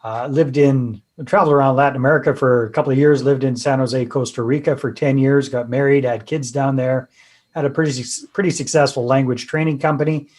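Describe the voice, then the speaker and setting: A middle-aged man from British Columbia speaks with a Canadian accent. He tells his story in a relaxed, chatty and self-deprecating way and laughs often. His voice sits at a middling pitch. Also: a little fast. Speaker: podcast guest, real estate investor. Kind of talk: casual storytelling interview.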